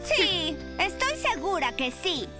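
A very high, animated young triceratops girl in a cartoon, with a Latin American accent. She is bossy and boastful, talking like a know-it-all over music. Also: slow pace, heavily acted. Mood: boastful, confident. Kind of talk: Spanish cartoon dub acting.

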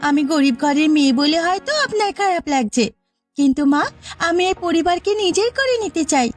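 A woman voicing a gentle young new bride in a cartoon story, speaking softly and pleadingly as a dutiful daughter-in-law. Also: extremely high pitch, animated, fairly quick, faint music behind. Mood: hurt, pleading. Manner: soft, pleading, deferential. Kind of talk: cartoon story character acting.

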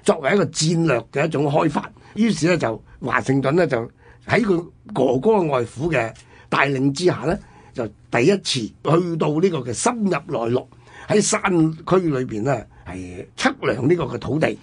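A man's voice: fairly high pitch, very animated, moderate pace, slightly rough. A man with a Hong Kong Cantonese accent, telling history conversationally as a radio co-host.

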